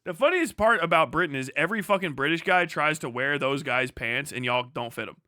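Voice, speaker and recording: A man with a fairly high, bright voice chats off the cuff as a livestream host. He swears freely and rambles into joking, exaggerated rants. The sound is close and dry. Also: animated, fairly quick, dry recording. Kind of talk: casual rambling livestream chat.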